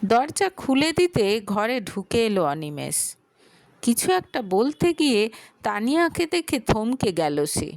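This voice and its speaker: A woman narrating a scripted audio story in an expressive, dramatic way. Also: a little high, takes it slowly, creaky, no echo.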